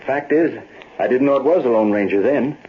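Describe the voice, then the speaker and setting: An actor playing a trusting, plain-spoken miner husband with folksy Western speech in an old radio drama. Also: male voice, medium pitch, brisk, natural voice. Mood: earnest. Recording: dull, hissy old radio recording.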